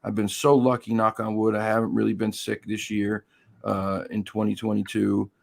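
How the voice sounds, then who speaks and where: Gravelly, hoarse man hosting a livestream. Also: on the low side, level tone, moderate pace. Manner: chatty, greets viewers by name. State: hoarse, losing his voice. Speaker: live stream host. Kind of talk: casual live-stream chat.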